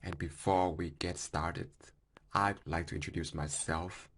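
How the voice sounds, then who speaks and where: A man in his twenties from Vietnam with a Vietnamese accent, hosting a solo podcast in careful, halting English with small grammar slips. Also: low-pitched, fairly even intonation, fairly bright tone, a little hiss or hum. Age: 20s. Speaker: podcast host.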